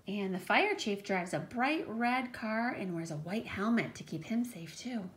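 A woman with a medium-pitched voice reads aloud as a teacher to young children. She speaks in a child-directed, questioning manner, adding explanations as she goes. The recording has clear background noise and room ambience. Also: expressive, measured pace. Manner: child-directed, questioning, emphatic on read text. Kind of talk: read-aloud storytime with explanatory asides.

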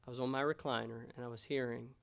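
An American man in his thirties preaching a sermon as a pastor in a measured, pausing way. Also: medium pitch, steady tone, gravelly. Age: 30s. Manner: measured, pausing, rhetorical questions. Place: church sanctuary, indoors. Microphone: gooseneck pulpit microphone.

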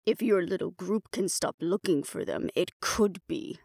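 A woman voicing a guarded, grudging, defiant old female vampire elder in an audio roleplay, speaking in a halting, solemn way. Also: on the low side, creaky, a little mellow, breathy edge, no room sound, studio quality, heavily acted.

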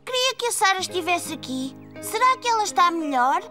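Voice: extremely high pitch, big pitch swings, brisk, pushed and bright, soft background music, exaggerated voice. A voice actor playing a cheerful, eager four-year-old boy in a cartoon, with a European Portuguese accent. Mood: disappointed. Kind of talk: children's cartoon voice acting, European Portuguese dub.

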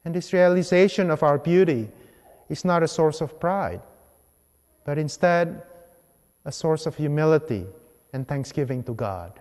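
A man gives a homily in a fairly high-set voice. He speaks in measured, deliberate phrases with pauses between them, as a priest at the lectern. The long reverb of a large church trails behind his words. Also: lively intonation, darkish tone. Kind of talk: homily, measured public preaching. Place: large church sanctuary, at the ambo.

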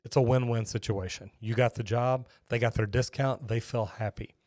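Guy in his 50s, folksy and rambly, close boom mic, dry little studio. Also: steady tone, normal speed, a little mellow, no echo. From Texas, USA. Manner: folksy, rambling, chatty with restarts. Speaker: host, master plumber. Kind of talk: live-stream chat with viewers. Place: small home studio with shelves. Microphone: boom-arm studio microphone close up.

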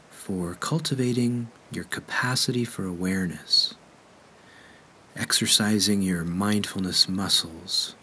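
A man guides a meditation in a fairly low voice. He speaks very slowly and gently, leaving measured pauses between phrases. A faint low rumble sits under the recording.

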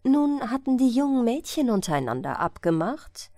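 A woman narrating a bedtime fairy tale in a measured, expressive storytelling manner. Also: a little high, dry recording, studio quality. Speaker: audiobook narrator.

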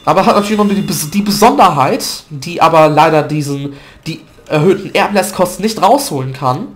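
A man's voice: on the high side, expressive, brisk. A man with a stuffy nose from a cold, chatting loosely through gameplay commentary with fillers and self-corrections, recorded at a desk mic at home. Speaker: gaming video commentator.

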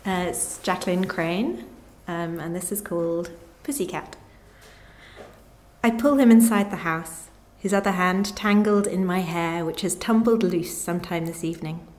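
A woman reading her short story aloud in a measured, literary narration style. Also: on the low side, darkish tone. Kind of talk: live reading of literary fiction.

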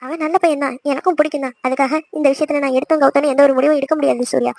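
Fretful scolding mother for family drama female actor: middle-aged, emphatic, gravelly edge, close dry sound. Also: sits high, steady tone, racing, a little mellow, dry recording. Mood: doubtful, firm. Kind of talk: melodramatic family audio drama.

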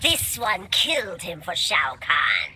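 An actress playing a menacing insectoid hive assassin in a video game, deliberate and speaking of herself in the third person. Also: female voice, fairly low pitch, huge pitch swings, sharp tone, slight background noise, full character voice. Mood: cold contempt. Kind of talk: video game fight-intro dialogue.

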